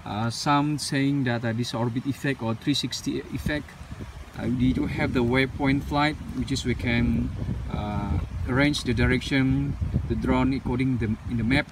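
A man from Malaysia unboxing a drone, talking casually and haltingly, with many fillers and loose grammar. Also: medium pitch, fairly even intonation. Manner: unscripted, halting, with frequent "uh" and non-standard English grammar. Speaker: drone reviewer. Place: outdoors on pavement. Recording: distant mic with outdoor street noise.

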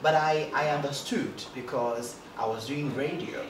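A light-voiced man talks animatedly in an interview, tripping over a few starts. The sound is roomy, with the mic set back from him. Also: moderate pace. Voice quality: light, high-ish voice for a man. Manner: animated, gestures a lot, stammers on starts. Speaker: broadcast journalist guest. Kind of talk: casual interview talk. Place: indoor studio set, seated in armchair. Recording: roomy echo, distant mic, background noise.

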